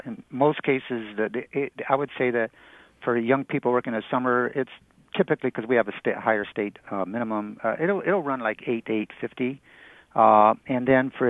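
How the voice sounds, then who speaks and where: A man, an economics expert, giving halting interview answers with repeated words over a phone-like line. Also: fairly low pitch, creaky, no echo. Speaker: economics professor, radio guest. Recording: narrow phone-like radio line.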